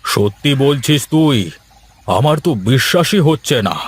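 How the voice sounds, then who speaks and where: A man's voice: on the high side, expressive, normal speed, husky. A man voicing a bossy, suspicious, hot-tempered village fish-farm owner in a cartoon, with blustering exclamations. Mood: surprised, pleased. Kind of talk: Bengali cartoon voice acting.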